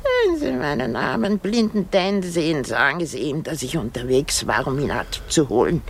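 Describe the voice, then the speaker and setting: A woman's voice: deep voice, sing-song, normal speed, vocal fry, noisy background, full character voice. An actress in a radio drama playing a weary, querulous old married woman, dramatic, self-pitying and given to exclamations.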